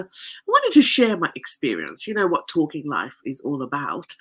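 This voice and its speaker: A woman's voice: sits low, a bit bright, breathy edge. A woman hosting a podcast in a casual solo talk, with a nasal voice and a chatty, rambling manner full of fillers. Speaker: podcast host.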